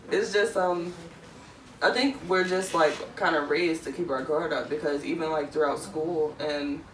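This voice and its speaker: A woman's voice: low voice, expressive, slow pace. Rambling Philly woman in her twenties. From Philadelphia. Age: 20s. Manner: rambling, many "like" fillers. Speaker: podcast co-host. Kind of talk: casual chat. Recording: echoey room, mic far off, faint noise.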